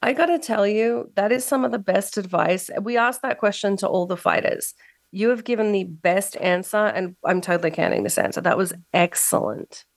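A woman hosting a talk radio show, hoarse as she loses her voice, playful and teasing with her co-host. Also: mid-range voice, animated, moderate pace, no echo. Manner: playful, teasing, laughs often. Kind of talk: casual radio chat.

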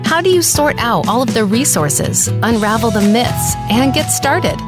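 Woman announcer, bright polished intro read, slightly high voice, loud music underneath. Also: animated, unhurried. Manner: polished, upbeat announcer delivery. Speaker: show announcer. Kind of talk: scripted show intro. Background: loud music bed.

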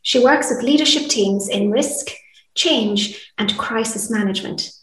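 Enthusiastic woman host, roomy distant sound. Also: fairly high pitch. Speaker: podcast host. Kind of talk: scripted introduction and interview questions.

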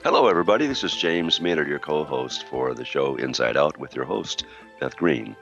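A man co-hosting an internet talk radio show, reading a scripted introduction with a sore throat that makes his voice gravelly and deep. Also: expressive, moderate pace, dull audio, over music.

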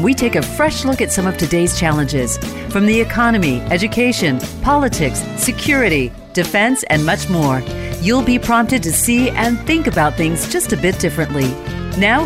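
A woman announcer reading a scripted show opening in a polished delivery. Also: mid-range voice. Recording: over guitar music.

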